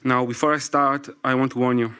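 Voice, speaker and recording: A man's voice: medium pitch, flat delivery, sharp tone, clean tone. Man in his forties with an Israeli accent. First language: Hebrew. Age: 40s. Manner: careful, halting, apologetic. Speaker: speaker at a public event. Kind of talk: reading a prepared talk from his phone. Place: event stage before a live audience.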